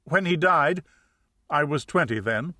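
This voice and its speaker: A man's voice: fairly high pitch, animated, unhurried, bright, forceful tone, dry recording, heavily acted. A man voicing an anxious, ashamed middle-aged woman client in a mystery audiobook, hesitant and plaintive.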